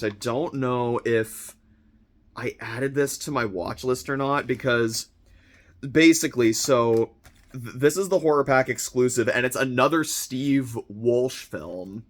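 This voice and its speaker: A young man with a slightly hoarse voice, chatting casually and excitedly as he unboxes a monthly subscription package, rambling with lots of fillers and restarts. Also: medium pitch. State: slightly hoarse, recovering from illness. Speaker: vlogger unboxing a film subscription box.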